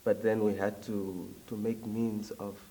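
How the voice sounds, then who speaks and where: A young man from Zambia, talking as a guest in a casual interview, reflective and hesitant with frequent pauses. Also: fairly low pitch, level tone, warm tone, far from the mic, rough recording. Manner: reflective, hesitant with many ums.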